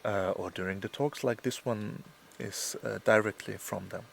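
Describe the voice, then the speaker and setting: A man from Austria, a spiritual coach and musician, answering interview questions calmly with hesitant fillers. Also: on the low side, normal speed, creaky, a little hiss or hum. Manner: calm, plain-spoken, with hesitant fillers.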